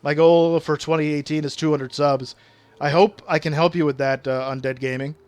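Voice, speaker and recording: A man's voice: fairly high pitch, steady tone, moderate pace, a little mellow, smooth. Chatty French-speaking male streamer. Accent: regional French. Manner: chatty, reads chat aloud, uses big words. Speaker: game streamer. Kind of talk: casual live stream chat with viewers.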